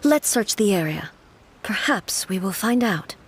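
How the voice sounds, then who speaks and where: Lady acting a regal shogun in a video game, mid pitch voice, calm n formal, super composed, bit of faint noise. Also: unhurried, lightly acted. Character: composed, dignified female shogun of godlike authority.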